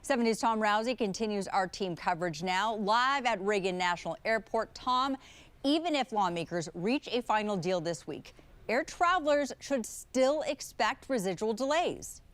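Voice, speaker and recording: A woman's voice: mid-range voice, expressive, normal speed, clean tone. An American woman reading scripted television news as an anchor.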